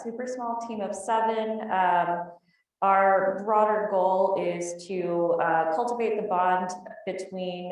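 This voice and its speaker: A woman with a slightly low voice gives an informal company introduction at a live event. She talks casually and a bit haltingly. The mic is distant and the room rings with heavy echo. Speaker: startup representative on a panel.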